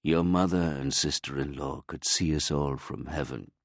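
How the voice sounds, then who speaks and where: A man voicing an elderly grandfather, an archaeologist who is grieving, speaking in a slow, soft, fatherly way. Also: a bass voice, fairly even intonation, no room sound, clean studio sound. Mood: gentle, reassuring. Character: older male archaeologist grandfather, gentle, grieving, reassuring.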